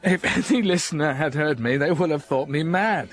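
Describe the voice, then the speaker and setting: An actor playing a blind, scarred older gentleman in a radio drama, speaking with intense, impassioned feeling. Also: a little high, a little fast, natural voice. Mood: brooding.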